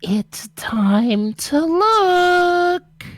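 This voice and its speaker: A man's voice: very high-pitched, very animated, dry recording, full character voice. A man voicing a possessed mother in a radio drama read-through, cold and falsely sweet, with a cracking, hollow voice. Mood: cold, eerie sweetness. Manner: drawn-out, menacingly gentle.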